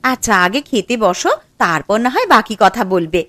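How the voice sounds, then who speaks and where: A woman playing a bossy but caring housewife in a cartoon moral story, brisk and quick to nag. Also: mid-range voice, very animated, a bit bright, dry recording. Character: bossy, caring housewife, easily piqued by husband.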